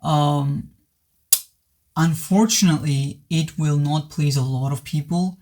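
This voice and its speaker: Male reviewer, pitch a bit high, voice worn from sickness, sincere and stop-start. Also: steady tone, slow pace, a little mellow. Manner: hesitant, many restarts, earnest. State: voice worn from recent illness. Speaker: movie reviewer. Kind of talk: casual spoken film review.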